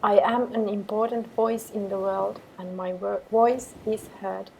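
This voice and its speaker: A woman meditation teacher guiding affirmations in a calm, measured way with pauses between phrases. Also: mid-range voice, creaky, slight background noise, poor audio. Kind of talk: guided meditation with repeated affirmations.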